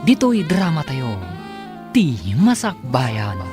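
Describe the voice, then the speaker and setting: Animated Ilocano male radio announcer. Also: fairly high pitch, slow, over music. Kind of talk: scripted dramatic announcing.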